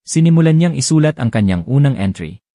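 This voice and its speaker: A man narrating a romance audiobook in a gentle, expressive storytelling manner. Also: medium pitch, fairly quick, no echo, clean studio sound.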